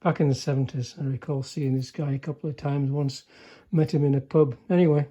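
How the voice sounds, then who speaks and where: A man's voice: mid-range voice, fairly even intonation, brisk, mellow. An older man giving a casual hands-on demonstration, speaking in an understated, hesitant way with trailing remarks. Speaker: radio repairer demonstrating a restored chassis.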